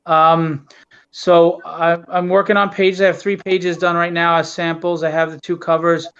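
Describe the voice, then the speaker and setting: A man with a fairly high, smooth voice talks casually and candidly as an interview guest, explaining his work in an earnest way. The recording is dull and low in fidelity, like an online call. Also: flat delivery, moderate pace, fairly bright tone. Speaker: guest, comic book writer and publisher. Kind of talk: casual interview talk, plugging and explaining a project.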